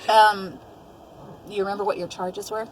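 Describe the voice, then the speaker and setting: Casting: middle-aged woman, conversational interviewer, medium pitch, slight background noise on the recording. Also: little pitch movement, normal speed, rough recording. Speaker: host, mother interviewing her daughter.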